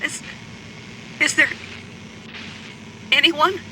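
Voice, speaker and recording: Slow, high, bright actress as lone radio operator. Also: female voice, a little hiss or hum, exaggerated voice, poor audio. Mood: forlorn, pleading. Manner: halting, pleading radio calls. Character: lone female radio operator desperately calling for other survivors. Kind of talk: radio drama acting.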